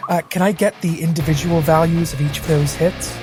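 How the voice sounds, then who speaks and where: A woman with a fairly low voice plays a tabletop game. She explains her moves casually, with music clearly audible behind her. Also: steady tone, fairly quick. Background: background music.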